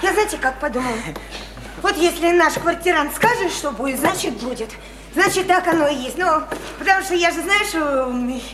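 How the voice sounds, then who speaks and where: A woman's voice: sits high, lively intonation, brisk. An actress in a Russian radio play playing a naive, chatty, insistent provincial woman, eager and coaxing, with colloquial, repetitive talk. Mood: coaxing, admiring. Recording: roomy, slightly noisy radio play recording.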